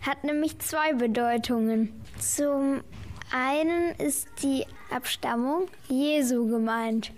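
A female performer playing a patient guardian angel in a children's audio play, explaining things in a measured way. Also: high-pitched.

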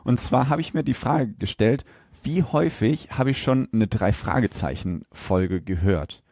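A man bantering casually as a podcast co-host, informal and quick to jump in with questions. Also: medium pitch, a little mellow, no echo, clean studio sound.

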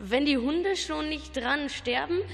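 A woman's voice: high voice, measured pace, creaky, long reverb tail. A young female actor playing a cheeky, thuggish eleven-year-old boy henchman in an amateur radio play. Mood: cocky.

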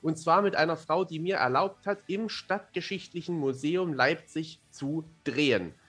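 A man with a somewhat high voice talks casually as a podcast guest. He comes across as chatty and self-deprecating, with faint music in the recording. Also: unhurried, a bit bright. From Germany. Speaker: interview guest, fantasy author and amateur filmmaker.

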